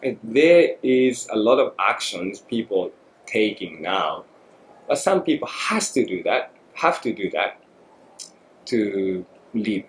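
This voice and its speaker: Japanese man, forties, podcast guest, halting and reflective, echoey studio. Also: fairly low pitch, sing-song. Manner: thoughtful, halting, many fillers. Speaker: guest photographer. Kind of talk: podcast interview. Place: small studio room. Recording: echoey room, faint noise.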